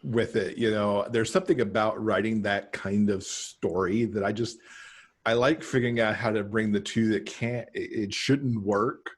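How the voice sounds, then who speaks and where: A middle-aged man, an author being interviewed on a casual podcast over a video call, explaining in measured short phrases. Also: fairly low pitch, fairly even intonation, breathy edge.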